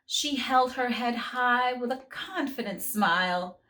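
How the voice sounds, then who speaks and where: A woman, a children's book author, reading her story aloud to children in a slow, expressive, encouraging way. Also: fairly high pitch.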